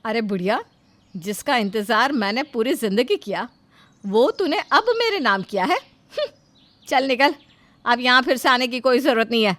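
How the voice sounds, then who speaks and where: A woman voicing a greedy, sharp-tongued middle-aged mother-in-law in a story, loud and dismissive. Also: a little high, big pitch swings, normal speed, long pauses, dry recording. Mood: scornful.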